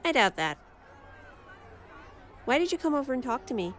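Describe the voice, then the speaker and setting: A woman's voice: high-pitched, big pitch swings, normal speed, a bit bright, some chatter behind, a little hiss or hum. A woman playing a bold, carefree outsider girl in an audio drama, speaking in a blunt, confident, casual way. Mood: teasing.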